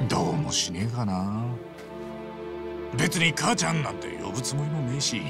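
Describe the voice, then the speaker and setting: An actor playing a rough, big-hearted, earnest former gangster in a video game drama, with blunt, casual street speech. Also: male voice, mid-range voice, sing-song, normal speed, over loud music.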